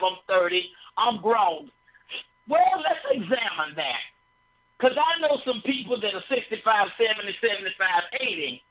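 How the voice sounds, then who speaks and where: A woman giving a conversational sermon on a broadcast, folksy and full of repeated phrases, often checking in with listeners. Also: a little high. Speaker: radio speaker on behavior. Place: radio studio. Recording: dull lo-fi broadcast sound.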